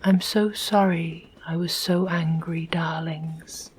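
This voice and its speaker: A tender yet stern mother from an old children's story speaks entirely in a hushed whisper, put on by a woman narrator. Her voice sits a little low and moves very slowly. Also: steady tone, slightly rough, heavily acted. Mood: tender, firm. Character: gentle stern Victorian mother, loving.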